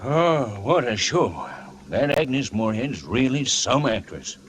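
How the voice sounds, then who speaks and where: Lively folksy everyman. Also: medium pitch, slow. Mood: folksy, chatty. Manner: folksy, eager. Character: chatty everyman listener in a sponsor skit. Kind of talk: 1940s radio commercial acting. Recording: old radio recording, faint noise.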